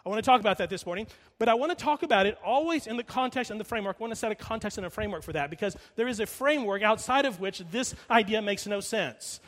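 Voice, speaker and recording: Middle-aged male pastor, emphatic sermon delivery with a fairly high voice, recorded in a church sanctuary with long reverb. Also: lively intonation. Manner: emphatic, slows down and repeats for emphasis, drops quiet when storytelling. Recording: long reverb of a large hall.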